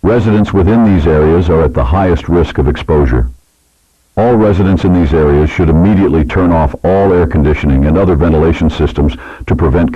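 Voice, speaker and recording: A man playing a grave government emergency alert announcer, reading a scripted warning in a solemn, measured, authoritative way. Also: deep voice, dark tone, slightly rough, no room sound, dull audio.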